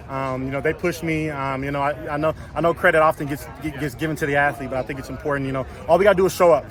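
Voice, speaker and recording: A young man, a college offensive lineman with a loud, carrying voice, answering interview questions outdoors after practice in a rapid, repetitive way full of fillers. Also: a little high. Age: young adult. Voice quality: loud voice that carries. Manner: rapid, filler-heavy, repeats words. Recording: outdoor media scrum with crowd chatter.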